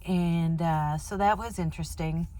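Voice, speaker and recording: A woman's voice: on the low side, steady tone, a little slow, warm tone. A woman, chatting casually to camera in a van in a rambling way, full of fillers. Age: 50s. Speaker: vlogger. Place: inside a van.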